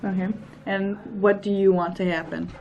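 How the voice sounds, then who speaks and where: A woman's voice: medium pitch, fairly even intonation, normal speed, gravelly, darkish tone, highs cut off, lightly acted. A teenage female student acting a firm, formal peer mediator in a scripted role-play. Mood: businesslike. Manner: firm, procedural. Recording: noisy room ambience.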